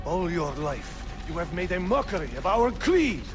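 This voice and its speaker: A man's voice: high-pitched, sing-song, moderate pace, over music. An actor playing a proud, hot-tempered male assassin rival in a video game, declaiming with bitter indignation. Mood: bitter, accusing. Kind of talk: dramatic video game cutscene acting.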